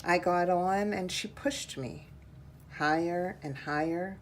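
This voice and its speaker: A woman reading a children's picture book aloud in a measured, deliberate storytelling style. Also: lowish voice, slight background noise.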